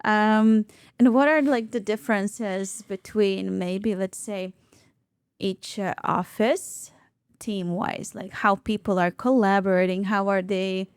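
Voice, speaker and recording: A woman hosting a casual interview, with a smooth, resonant voice. She is supportive and keeps her prompts short and encouraging, and the sound is dry and close. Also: mid-range voice, fairly even intonation, takes it slowly, dry recording. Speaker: interviewer, host.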